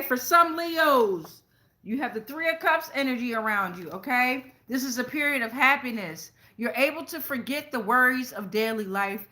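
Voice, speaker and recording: A woman's voice: a little high, sharp tone. An American woman hosting a casual tarot reading for viewers, speaking in a blunt, slangy way. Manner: blunt, slangy, freely swearing. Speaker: tarot reader and show host.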